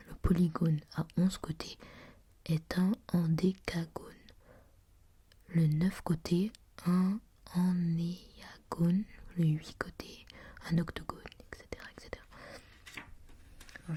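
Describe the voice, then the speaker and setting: A woman whispering as she reads aloud from a language reference book, softly musing and adding her own comments. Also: on the low side, slightly rough. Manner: whispering throughout; soft and musing, pausing to comment. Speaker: reader.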